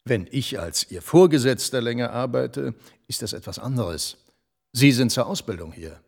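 An actor playing a smooth, condescending senior EU official lecturing a junior. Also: male voice, mid-range voice, animated, moderate pace. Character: smooth, scheming male EU director-general. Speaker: boss. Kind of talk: TV drama acting.

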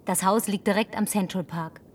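An actress dubbing a brash, lonely young woman who survived the end of the world, teasing and sharp-tongued. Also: mid-range voice, fairly even intonation, normal speed, far from the mic, slight background noise, lightly acted. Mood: wistful. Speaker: Linda, survivor driving a car. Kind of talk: German film dub.

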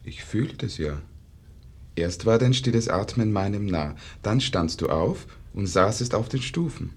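Slow, grave, sorrowful youth. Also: male voice, lowish voice, animated, husky, exaggerated voice. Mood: tender. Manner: measured, weighty, melancholy phrasing. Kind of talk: 1950s German radio verse drama, declaimed.

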